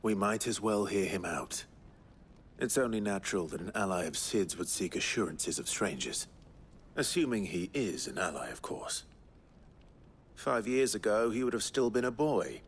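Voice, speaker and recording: A man's voice: fairly low pitch, expressive, normal speed, a little hiss or hum, close to an everyday voice. A man playing an earnest, brooding hero in a video game, grave and plain-spoken. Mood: guarded, firm. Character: earnest, resolute young-to-middle-aged male hero, brooding. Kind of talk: video game cutscene acting.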